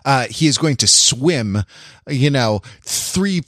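A man hosting a casual discussion podcast, explaining things at length in a halting, backtracking, wry way. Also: medium pitch, expressive, a bit bright, dry recording, clean studio sound. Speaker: podcast host.

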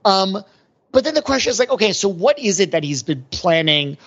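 A man's voice: high-pitched, very animated, a little fast, creaky, fairly bright tone, breathy edge. A man co-hosting a podcast in casual pop culture discussion, thinking aloud in an analytical way. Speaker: podcast co-host. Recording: slightly dull, lo-fi audio.

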